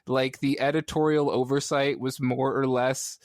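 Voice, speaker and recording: A man in his thirties chatting casually on a podcast as a panelist, telling things in an animated, joking way. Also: medium pitch, moderate pace, no echo, clean studio sound. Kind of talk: casual comics podcast chat.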